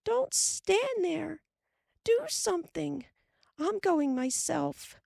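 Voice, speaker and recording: A woman voicing a scared young pioneer girl with a high, pleading voice. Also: sing-song, takes it slowly, slightly rough, dry recording, studio quality, full character voice.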